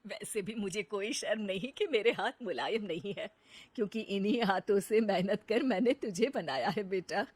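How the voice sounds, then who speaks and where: A woman voicing a gentle, humble older village mother-in-law in an acted story. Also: mid-range voice, normal speed, no echo, lightly acted. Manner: kindly, forgiving, soft-hearted.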